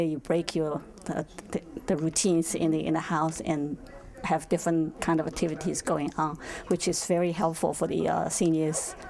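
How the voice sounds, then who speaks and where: Older lady w hong kong chinese accent, kinda flat and creaky, chatting in an interview. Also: low voice. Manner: non-native English grammar. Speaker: senior center volunteer game teacher. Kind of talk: casual interview answers.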